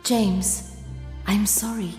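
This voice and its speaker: Resigned female resistance fighter, very slow, halting delivery, echoey hall, music behind. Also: medium pitch, steady tone, a little mellow. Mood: sorrowful, resigned.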